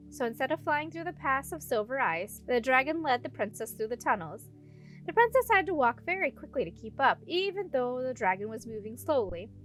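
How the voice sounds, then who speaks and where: A woman with a high-pitched voice narrates a children's fantasy audiobook. She reads from a script in storybook style, with faint music underneath. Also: a bit bright. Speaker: audiobook reader.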